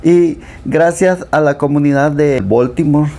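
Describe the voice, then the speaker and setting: A man's voice: on the high side, measured pace, dark tone. A man delivering a closing message, greeting listeners with blessings and formal thanks. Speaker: community member thanking viewers.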